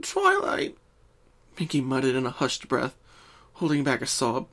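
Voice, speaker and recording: A man's voice: medium pitch, fairly even intonation, moderate pace. Male narrator for a dramatic fan-fiction style story reading.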